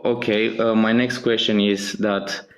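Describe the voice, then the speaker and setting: A male caller with a Romanian accent, a native Romanian speaker, asking measured, hesitant questions in a call-in debate. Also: fairly low pitch, flat delivery. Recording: lo-fi call audio.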